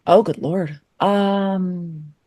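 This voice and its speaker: A woman's voice: on the low side, fairly even intonation, very slow, dark tone, dry recording, clean studio sound. A woman chatting casually and informally as a guest answering questions on a podcast. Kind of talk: casual chat.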